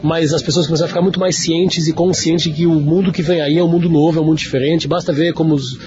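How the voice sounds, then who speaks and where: A man's voice: on the high side, steady tone, quick pace, warm tone, lo-fi sound. A man from Portugal with a Lisbon accent, answering radio interview questions as a business executive, talkative and full of fillers and restarts.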